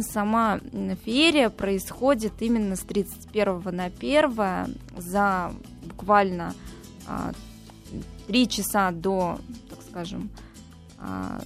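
A woman guest in a radio interview, talking enthusiastically about travel with frequent hesitations. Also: mid-range voice.

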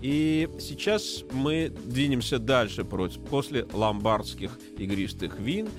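Gravelly-voiced male radio host. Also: mid-range voice, slow, over music. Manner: playful, joking, hesitant with fillers. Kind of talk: live radio talk show conversation.

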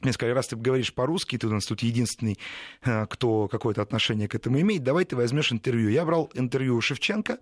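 A man's voice: medium pitch, fast, dry recording. Rambling male journalist, dry close sound. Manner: rambling, digressive storytelling with frequent self-corrections. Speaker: journalist guest. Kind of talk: conversational interview recollection.

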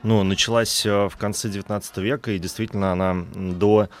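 A man in his thirties speaks as an expert guest in an interview. His voice sits fairly low, and he explains things haltingly, often pausing and drifting into asides. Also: fairly even intonation, faint music behind. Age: 30s. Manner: halting, hesitant delivery with trailing asides. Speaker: guest expert, animation historian.

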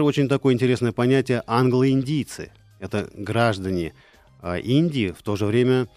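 A man's voice: medium pitch, darkish tone, dry recording. A man speaking as an expert radio guest, explaining in a lecturing way with frequent fillers. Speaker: expert guest on languages of India.

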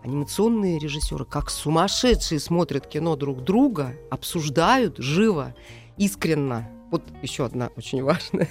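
A middle-aged woman giving thoughtful, halting interview answers as a guest, with emphatic phrases. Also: low voice, animated, a little mellow, faint music behind. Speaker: guest, animation film director.

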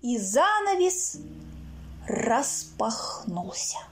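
A woman reading her own children's stories aloud in an expressive, theatrical way, acting out sounds and characters. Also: a little high, very slow, noisy background. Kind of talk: expressive children's storybook narration. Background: music.

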